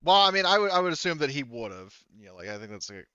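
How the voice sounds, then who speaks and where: A man's voice: fairly high pitch, big pitch swings, fast, sharp tone, clear, resonant tone, no room sound, muffled recording. A man working as game master, improvising tabletop narration piece by piece, with bits of character dialogue.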